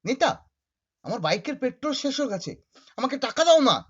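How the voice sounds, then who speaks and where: A woman's voice: sits high, very animated, quick pace, breathy edge, heavily acted. A woman playing an easygoing grown son and office-worker husband in a puppet show, with emphatic delivery. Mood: pleading. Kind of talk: puppet show moral story acting. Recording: dull lo-fi audio.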